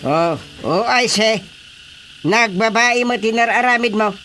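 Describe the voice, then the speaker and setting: A man's voice: high-pitched, unhurried, music in the background. A man with an Ilocano accent playing a bossy, indignant village chairman in a radio comedy drama. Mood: indignant, scolding.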